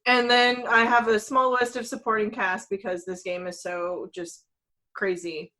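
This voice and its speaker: A woman talks in an average-pitched, conversational voice as a podcast co-host. She is informal and plainly enthusiastic, chatting as a fan about games and films. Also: little pitch movement, a little slow, pushed and bright.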